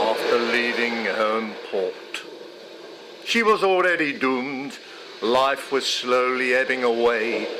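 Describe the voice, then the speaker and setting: A man reading poetry aloud in a solemn, measured, dramatic way. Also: medium pitch, background noise. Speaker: poetry reader. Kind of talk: expressive poetry recitation. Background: music.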